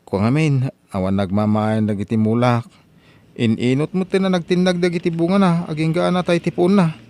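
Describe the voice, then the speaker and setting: A man's voice: a little high, fairly even intonation, normal speed, darkish tone, no room sound, close to an everyday voice. A man voicing Pidong, a stubborn young farmer who pleads and apologizes in a radio drama. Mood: protesting.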